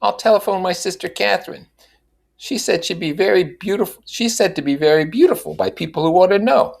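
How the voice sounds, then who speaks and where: A man's voice: high voice, brisk, gravelly, pitched above the performer's own voice. A man voicing an eager, haughty woman in a novel read aloud, gushing and pushy. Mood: insistent, bossy. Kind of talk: audiobook character reading.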